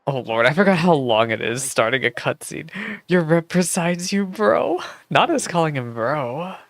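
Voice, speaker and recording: A woman livestreaming a game playthrough, chatting casually with viewers in a gushing, expressive way. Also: deep voice, normal speed, no room sound. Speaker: streamer reacting to a game playthrough. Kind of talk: casual livestream chat and commentary. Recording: game music behind the voice. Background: game music.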